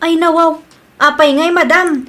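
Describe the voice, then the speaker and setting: A woman's voice: very high-pitched, fairly even intonation, unhurried, sharp tone, heavily acted. A Filipino woman playing a haughty, hot-tempered senior employee in an audio drama, complaining in a whiny, scornful way. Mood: indignant, defensive. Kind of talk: acted audio comedy-drama dialogue.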